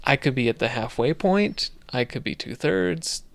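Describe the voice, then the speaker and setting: A man's voice: mid-range voice, expressive, normal speed, vocal fry. A man co-hosting a casual podcast, chatting loosely with many fillers and restarts. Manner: rambling, lots of fillers and restarts. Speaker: podcast co-host.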